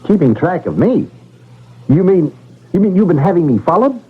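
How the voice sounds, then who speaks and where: An affable, excitable witness in a vintage radio mystery. The voice is husky and fairly high, swooping up and down, on a thin phone-like recording. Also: male voice, normal speed, heavily acted. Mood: shocked, flustered. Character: affable, excitable male train robbery witness. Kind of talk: old-time radio drama acting.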